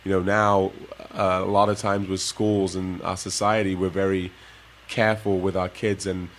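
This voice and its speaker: A man with a fairly low voice tells stories in a relaxed, easy way to young listeners. He laughs now and then as he goes. Faint noise sits behind him. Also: moderate pace. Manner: relaxed storytelling, laughs often. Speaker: guest, former pro basketball player. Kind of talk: casual interview talk to kids.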